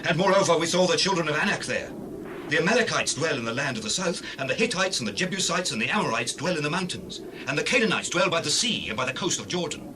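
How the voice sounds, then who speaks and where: A highish, quick-talking Israelite scout gives an alarmed, emphatic account, acted by a man. His pitch swings widely as he discourages his people in a biblical audio drama. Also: expressive, natural voice. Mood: uneasy reporting. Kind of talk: dramatized scripture reading. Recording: loud ambient background noise.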